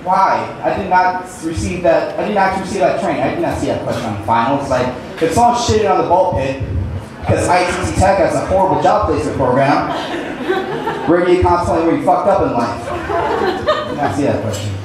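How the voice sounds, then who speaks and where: A man's voice: a little high, big pitch swings, fairly quick. Awkward young man doing stand-up in an echoey club. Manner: awkward, rambling, self-deprecating. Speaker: stand-up comedian. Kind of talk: stand-up comedy set. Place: comedy club stage. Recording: echoey club room, distant mic, background noise.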